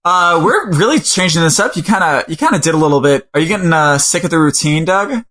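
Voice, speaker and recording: A man's voice: a little high, lively intonation, fairly bright tone. Playful teasing podcast co-host man. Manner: playful, teasing, quick asides. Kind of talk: casual chat.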